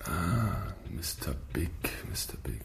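An actor playing an evasive, sardonic rocker gang boss in a radio drama, gravelly, with a mocking drawl. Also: male voice, sits low, big pitch swings, slow pace, exaggerated voice.

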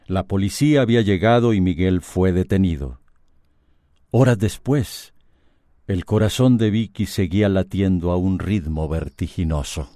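A man's voice: deep voice, normal speed, dark tone, no echo, clean studio sound. A man narrating a scripted radio drama.

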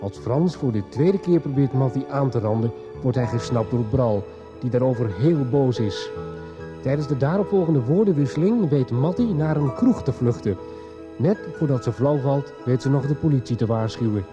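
A man's voice: medium pitch, normal speed. A man narrating a scripted recap for a radio serial. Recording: muffled 1990s radio, music behind.

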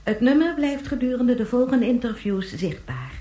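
A brisk female announcer in a futuristic radio play. She delivers official emergency notices formally, in a rather high voice with a clear room echo around it. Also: big pitch swings, warm tone. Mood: urgent, official. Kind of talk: radio play acting as a news bulletin. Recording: roomy, echoing sound.

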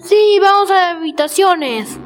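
Radio play kid female performer: high voice, cheeky, boastful, light music bed. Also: expressive, unhurried. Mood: eager. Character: mischievous schoolchild, boastful but easily scared.

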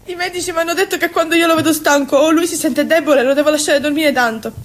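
A woman's voice: high voice, quick pace, lightly acted. An actress playing a naive, devoted Sicilian woman in an emotional stage monologue, with a Sicilian accent and a repetitive, childlike delivery. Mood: quiet, resolved. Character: naive, devoted Sicilian woman, fiercely attached to her cousin.

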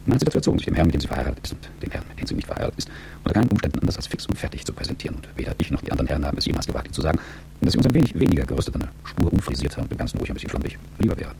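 Extremely low, creaky man's voice, that of a wealthy, middle-aged manager in a radio play. He unrolls his monologue very quickly, dry, sardonic and world-weary, in a dark tone. A faint hum sits behind him. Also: very animated, heavily acted. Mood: detached, sardonic. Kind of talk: German radio drama monologue.

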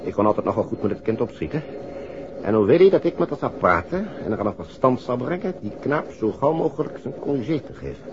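A man's voice: medium pitch, big pitch swings, fast talker, close to an everyday voice. An actor playing a busy, weary businessman who grumbles bluntly and colloquially in a 1960s Dutch radio drama. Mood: resigned, worried. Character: busy, weary businessman fretting over a family quarrel. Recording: dull, noisy old radio recording.

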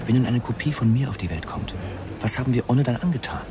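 Actor as fast, intense, rational man. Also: medium pitch, noisy background, natural voice. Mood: agitated, pressing. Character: agitated, rational man, anxious and bitterly ironic. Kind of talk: naturalistic radio drama acting.

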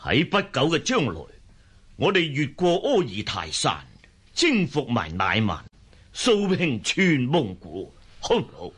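An actor playing a hearty, paternal old khan in a Cantonese radio drama, with a husky voice and a weighty manner. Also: male voice, mid-range voice, huge pitch swings, a little slow, a bit bright, full character voice. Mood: weighty, genial.

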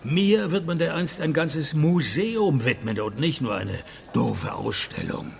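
An actor playing a sardonic, world-weary private detective in a radio play, with a gravelly voice and dry, deadpan delivery. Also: male voice, fairly high pitch, a little slow. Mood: mocking. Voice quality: gravelly, creaky. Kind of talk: detective radio play acting and first-person narration.

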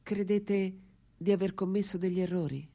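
An actress playing a philosopher's lifelong companion, a woman writer in a radio drama, speaking quietly with composed, deliberate gravity and tenderness. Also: medium pitch, steady tone, close to an everyday voice. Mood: gently probing. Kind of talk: radio drama acting and intimate narration.

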